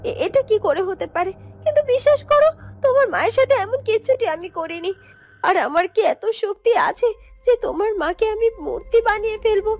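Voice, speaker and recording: Very high-voiced earnest village girl played by a woman. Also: expressive, brisk. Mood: shocked, pleading. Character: wronged gentle village girl, bewildered and earnest. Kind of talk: Bengali fairytale cartoon voice acting.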